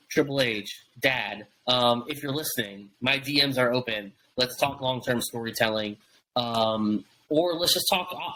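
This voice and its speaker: Bright-voiced rambling young podcast host. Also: male voice, mid-range voice, poor audio. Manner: rambling, many fillers and restarts. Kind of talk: casual wrestling podcast chat.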